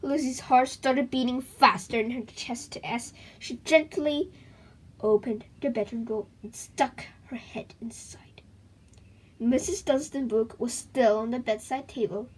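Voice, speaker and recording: A woman reading a children's book aloud in an expressive, dramatic way, with chatty asides to her young listeners. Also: high voice, husky, poor audio.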